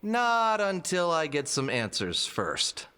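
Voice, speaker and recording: A woman playing a wisecracking fox adventurer in an audio drama, wry and bantering, cocky but quick to get flustered. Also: sits low, huge pitch swings, a bit bright, smooth, exaggerated voice. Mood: dry, teasing. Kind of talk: audio drama cartoon-like acting.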